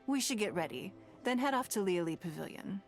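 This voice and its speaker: An actress playing a poised, courteous woman leader in a video game, speaking formally with measured, eloquent phrasing and dry wit. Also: mid-range voice, lively intonation, lightly acted. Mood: gracious, relaxed. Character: poised, commanding, courteous wealthy woman leader, dryly teasing. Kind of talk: scripted video game dialogue. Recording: music under the voice.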